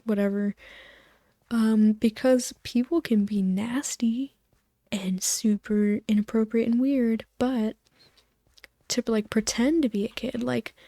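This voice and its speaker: Soft-voiced woman rambling gently. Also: fairly high pitch, fairly even intonation, slow, dry recording, studio quality. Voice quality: soft voice, drifting into whispers. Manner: soft, meandering, loses her train of thought. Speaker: gaming video host. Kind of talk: soft-spoken rambling over gameplay, for sleep.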